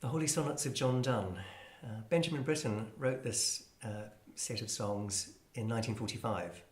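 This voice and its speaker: A man presenting an explanatory talk on classical music in a measured, hesitant, scholarly manner. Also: medium pitch, noisy background. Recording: roomy, echoing space.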